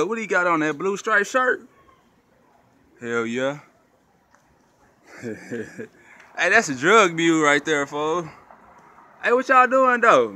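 A man, talking casually as he films outside. His pitch swings widely and his tone is bright and sharp. He keeps repeating himself in slangy, taunting street commentary. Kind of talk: casual excited street commentary while filming. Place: outdoors at night.

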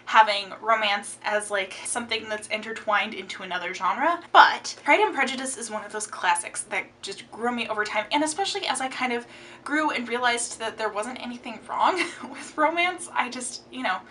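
A woman's voice: on the high side, normal speed, far from the mic, slight background noise. A young woman chatting expressively about her favorite books in a casual vlog from her home room. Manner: chatty and expressive, gestures a lot. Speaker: book vlogger. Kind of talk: casual book tag chat. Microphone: camera framing, upper body.

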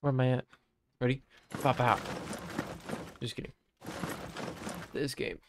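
A man's voice: medium pitch, flat delivery, measured pace. A young man chatting casually while playing a co-op game, joking and laughing often. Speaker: gamer streamer. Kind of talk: casual co-op gaming chat. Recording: game sound and noise behind voice.

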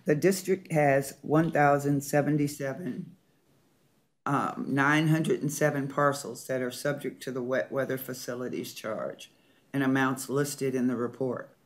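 A man's voice: mid-range voice, steady tone, unhurried. A man serving as board president, reading agenda items and calling motions and votes in a formal meeting. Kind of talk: formal procedural meeting chairing.

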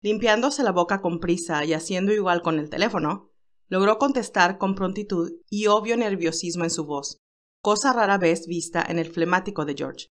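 A woman's voice: on the low side, moderate pace. A woman reading a scripted story aloud as an audiobook narrator.

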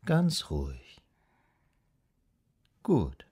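An actor playing a calm, soothing psychoanalyst in a radio drama, guiding a patient in a measured, hypnotic, gently instructing way. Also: male voice, low-pitched, breathy edge, full character voice. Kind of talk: German radio drama acting.

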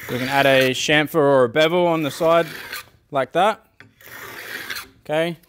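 Man, thirties, smooth clear voice, slightly high pitch, informal instructor, practical demonstration, frequent check-in tags. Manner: frequently checks in with short tag questions like "okay?". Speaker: woodwork teacher. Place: school woodwork workshop, indoors.

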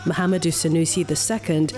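A woman's voice: sits low, flat delivery, fast, music in the background. A woman with a Nigerian accent reading scripted narration as a news reporter.

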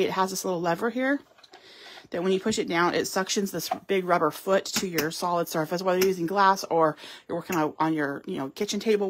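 A woman's voice: lowish voice, slight background noise. Woman doing a live craft demo, talks you through each step, lots of ums. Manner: chatty, explains while working, frequent ums. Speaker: craft store demonstrator. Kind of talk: live card-making demo. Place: craft table at home or in a studio.

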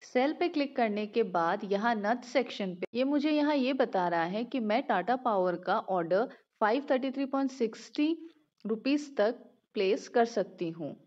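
A woman hosting a finance channel, giving a steady, step-by-step scripted explanation. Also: fairly high pitch, moderate pace. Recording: roomy, slightly dull home recording.

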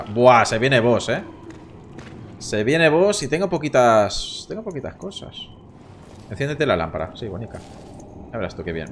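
A man in his thirties from Barcelona streams a game from home, and his Spanish accent comes through as he jokes and swears with his chat. His pitch jumps around a lot, and a soft hiss of room noise sits under his voice. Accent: Castilian Spanish from Barcelona. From Catalonia, Spain. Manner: chatty, swearing, joking with chat. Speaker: gaming streamer. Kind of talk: casual live gameplay commentary.

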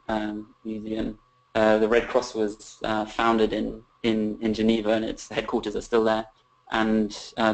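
A man with a lowish, flat voice speaks earnestly in an online webinar. He stops and restarts often as he gathers his thoughts. He talks as a tour manager over a video call. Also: poor audio. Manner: earnest, halting, many fillers and restarts. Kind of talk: webinar talk about travel.

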